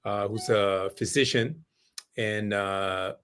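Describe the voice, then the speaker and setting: A middle-aged man from Mexico, a musician guest recalling his start in an unhurried, thoughtful way. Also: lowish voice, steady tone, fairly bright tone, clean tone. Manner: thoughtful, many fillers and restarts. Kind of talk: podcast interview.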